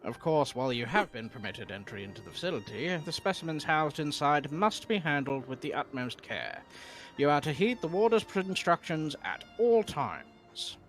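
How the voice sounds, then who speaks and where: A man's voice: a little high, very animated, normal speed, fairly bright tone. A man doing a stiff, courtly male official. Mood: stern. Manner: stiff archaic courtly phrasing. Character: formal male official guarding a research facility. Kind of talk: voicing game dialogue on a live stream.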